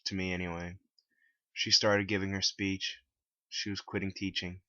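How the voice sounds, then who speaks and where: A man reading his own story aloud as a scripted dramatic narration in a deep voice. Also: little pitch movement, moderate pace, bright, forceful tone, no echo. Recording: dull lo-fi, dry close recording.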